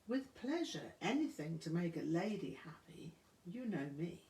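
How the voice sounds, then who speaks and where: A woman voicing a relaxed, witty teenage English boy with an easy, thoughtful charm. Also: lowish voice, unhurried, roomy sound. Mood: gallant.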